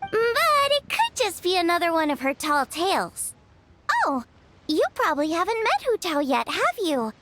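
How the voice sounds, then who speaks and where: A woman voicing a tiny, cheeky, excitable fairy companion in a video game, with a squeaky childlike voice and an expressive, playful delivery. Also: normal speed, slight background noise, full character voice. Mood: curious, chatty.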